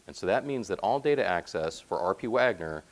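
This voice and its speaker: Deep-voiced man giving a tech talk. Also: expressive, a little fast. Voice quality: deep, resonant. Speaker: conference presenter, software platform team member. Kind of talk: technical conference presentation with slides. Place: presentation room, speaking to slides.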